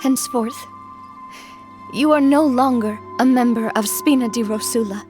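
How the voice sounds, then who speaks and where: A woman voicing a young gang boss who speaks softly and in a measured, heartfelt way. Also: a little high, music in the background, lightly acted. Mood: resolute. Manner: soft-spoken, measured, emotional. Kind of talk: game voice acting.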